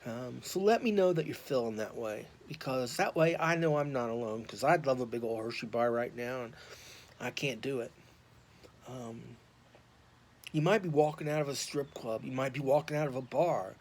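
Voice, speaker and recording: An American man talking off the cuff to viewers, rambling and halting but earnest and informal. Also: medium pitch. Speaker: YouTube vlogger and podcaster on addiction recovery. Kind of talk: impromptu, unscripted casual monologue to viewers. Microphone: phone. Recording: clear background noise, raw phone recording.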